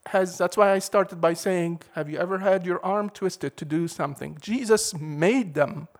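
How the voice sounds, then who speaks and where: A man preaching a sermon in a measured, emphatic way, leaning hard on key words. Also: sits high.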